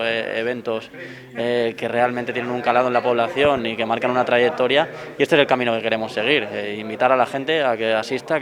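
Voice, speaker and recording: A man with an accent from Spain giving an unscripted press interview as a local official, in halting phrases. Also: medium pitch. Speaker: town council representative.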